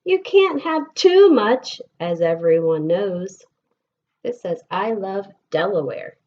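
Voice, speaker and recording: American female storybook reader, very unhurried, expressive phrasing, slightly muffled lo-fi recording. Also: medium pitch. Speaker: elementary school teacher reading aloud. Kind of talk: picture-book read-aloud for children.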